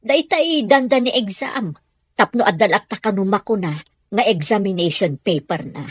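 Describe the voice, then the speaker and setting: A woman's voice: mid-range voice, expressive, moderate pace, slightly rough, no echo. A woman with an Ilocano accent playing a caring, worried middle-aged mother in an audio drama.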